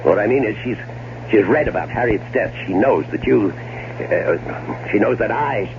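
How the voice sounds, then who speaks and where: A man's voice: on the low side, animated, unhurried. An American actor playing a scheming, irritable, sarcastic man in a 1970s radio drama, stammering when cornered. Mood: angry, sarcastic. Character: scheming, irritable man, bitterly sarcastic older-brother type. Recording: muffled, noisy old radio broadcast recording.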